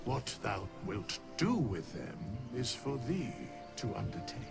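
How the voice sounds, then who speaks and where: An actor playing an ancient, solemn undead skeleton in a video game, speaking archaic, formal English with a breathy, measured delivery. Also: male voice, medium pitch, sing-song, over loud music. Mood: calm, cryptic.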